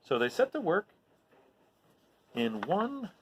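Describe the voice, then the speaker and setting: A man reading a children's story aloud expressively, in an animated way that addresses his listeners. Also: high-pitched, slow pace, slight background noise. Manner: animated, recapping with questions to listeners.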